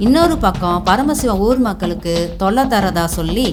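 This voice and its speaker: A woman narrator reading a scripted animated moral story. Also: mid-range voice, normal speed, over music.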